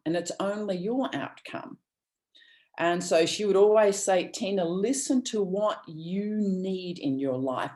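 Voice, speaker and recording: Earnest low-voiced woman. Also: a little mellow. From Australia. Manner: earnest, rambling with many restarts. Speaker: guest speaker. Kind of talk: motivational talk on a video call.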